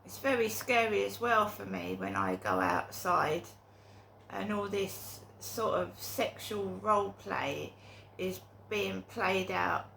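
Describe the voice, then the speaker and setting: A woman's voice: mid-range voice, expressive, takes it slowly, creaky, a bit bright, a little hiss or hum, low-quality recording. A middle-aged woman with a cold and sore throat, talking in a rambling, hesitant monologue full of ums and restarts. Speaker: vlogger.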